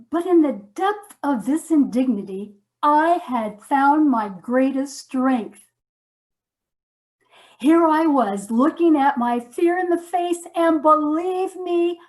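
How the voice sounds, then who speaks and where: A woman performing a theatrical comic monologue as first-person storytelling, with dramatic, mock-solemn delivery and deliberate pauses. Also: high-pitched. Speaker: stage performer.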